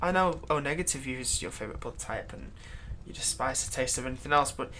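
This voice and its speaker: A man's voice: mid-range voice, animated, fairly quick, pushed and bright, a little hiss or hum, poor audio. A man playing a servile, nervous vampire's thrall in a roleplay audio drama, stammering and deferential. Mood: nervous, apologetic. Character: servile, nervous male vampire's thrall, bitterly eager to please.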